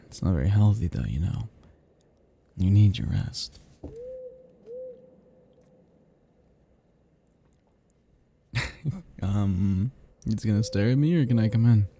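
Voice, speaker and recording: A man playing an ancient, flirtatious, possessive vampire in an intimate ASMR roleplay, speaking to the listener softly and huskily in a halting, teasing, casually menacing way. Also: fairly low pitch, exaggerated voice. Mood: teasing menace, then awkward, friendly. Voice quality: soft, husky, gravelly.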